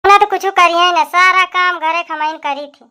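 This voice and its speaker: A woman voicing a nagging, complaining young village wife in an Awadhi cartoon comedy, with a shrill voice and an Awadhi accent. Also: steady tone, moderate pace, sharp tone. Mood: aggrieved. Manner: complaining, scolding.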